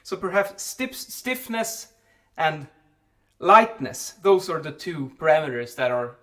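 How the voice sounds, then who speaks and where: A man with a somewhat high-pitched voice talks as a vlog host, explaining in an informal way. He thinks aloud, repeating words and correcting himself along the way. The voice sounds a little distant from the microphone. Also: a bit bright. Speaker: vlog host and building acoustics specialist answering a question.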